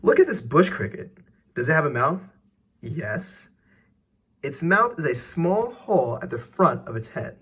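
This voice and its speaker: A man's voice: fairly high pitch, very animated, a little slow, a bit bright, husky. A man reading an educational text aloud for children as a teacher, putting questions directly to the listener.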